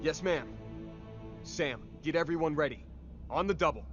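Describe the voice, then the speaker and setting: A man playing a terse, dutiful young super-soldier leader with a disciplined manner in an animated sci-fi drama. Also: fairly high pitch, normal speed, lightly acted. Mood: focused. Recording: slightly dull, music behind.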